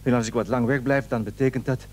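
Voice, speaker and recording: A man's voice: mid-range voice, fairly even intonation, quick pace, natural voice. An actor playing an anxious, frightened husband who stammers and repeats his words in a radio play. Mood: frightened, urgent. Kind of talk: Dutch radio play acting.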